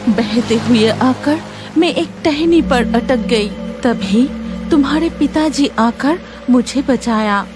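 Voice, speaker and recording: A woman plays a gentle cartoon mermaid with a high, plaintive voice. She tells of her sorrows slowly, with music behind her. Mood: sorrowful. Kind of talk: children's cartoon story acting.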